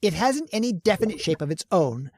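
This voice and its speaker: A woman's voice: medium pitch, brisk, a little hiss or hum, lightly acted. A woman playing an obsessed, reckless male scientist in an old-time radio horror drama, swinging between wonder and scorn. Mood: amazed. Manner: excitable, marvels and snaps by turns.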